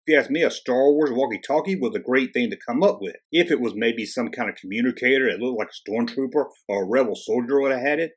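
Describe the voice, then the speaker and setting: Husky-voiced man hosting a jokey video. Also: medium pitch. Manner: wisecracking, sarcastic, conversational. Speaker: host of a toy-collecting video channel. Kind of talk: jokey, casual scripted narration.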